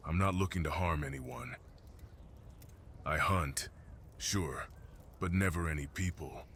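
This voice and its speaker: Casting: male actor as gentle, lonely mountain guardian beast for ASMR fantasy roleplay; very low register, solemn and reassuring, some background ambience. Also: a little slow, slight background noise. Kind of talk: ASMR fantasy roleplay, slow intimate monologue.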